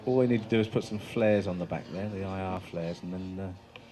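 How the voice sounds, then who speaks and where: A man's voice: low voice, a little fast. A man giving casual, unscripted live commentary as an airshow commentator. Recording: loud engine noise, outdoor airfield.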